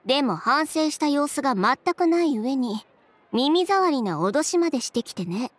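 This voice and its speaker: A woman's voice: high-pitched, sing-song, moderate pace. An actress playing a poised, proud young woman in a video game, speaking with cool, haughty sarcasm. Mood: composed, justifying. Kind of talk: Japanese game voice acting.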